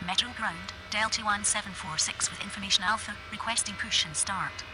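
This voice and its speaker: Female pilot played by a woman, mid-pitched, businesslike clipped radio requests, music clearly behind. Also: brisk, lightly acted. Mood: routine, businesslike. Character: female airline pilot making routine radio calls.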